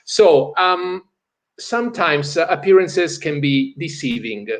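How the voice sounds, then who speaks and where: A man with an Italian accent hosting an interview, asking questions hesitantly with many pauses. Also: fairly high pitch, expressive. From Italy. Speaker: interviewer, host.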